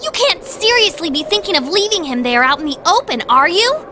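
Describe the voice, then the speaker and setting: A woman voicing a sassy female cat familiar in a video game, glib, expressive and quick to take offense. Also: very high voice, noisy background. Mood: exasperated.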